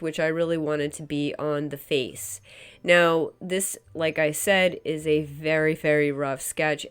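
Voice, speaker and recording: Low-voiced woman, stuffy and congested. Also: clean tone. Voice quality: stuffy, nasal. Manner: relaxed and chatty, hedges with frequent "kind of" and "um". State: congested from a head cold. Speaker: painter demonstrating her work. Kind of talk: casual tutorial voice-over. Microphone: voice-over recorded separately from the footage.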